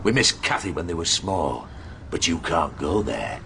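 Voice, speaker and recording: Slow, sneering Yorkshire manservant. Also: male voice, sits low, very animated, vocal fry, noisy background, heavily acted. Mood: mocking. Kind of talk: radio drama acting.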